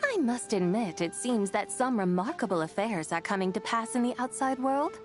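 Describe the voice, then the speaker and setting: A woman's voice: fairly high pitch, animated, moderate pace, lightly acted. A woman playing a haughty, sly, ancient female horse spirit in a fantasy game, with theatrical, archaic, teasing formal speech. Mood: probing. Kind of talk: fantasy game cutscene acting. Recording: music behind the voice.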